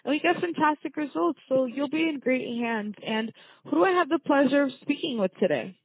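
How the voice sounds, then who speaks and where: Woman, receptionist, welcoming service tone, higher pitch, narrow dry telephone sound. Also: animated, a little fast, no room sound. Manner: welcoming, positive. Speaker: orthodontic office receptionist. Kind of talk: customer service phone call, semi-scripted. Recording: narrow, tinny phone line, dry.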